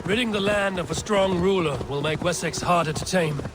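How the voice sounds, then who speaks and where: A man playing a calm, strategic Viking leader. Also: sits high, brisk, heavy background noise, lightly acted. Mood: measured. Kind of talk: video game voice acting. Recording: game audio with music behind.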